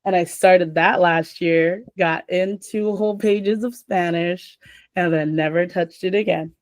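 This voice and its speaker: A woman's voice: lowish voice, fairly even intonation, unhurried, no echo. A young adult woman hosting a casual chat, rambling with lots of filler words.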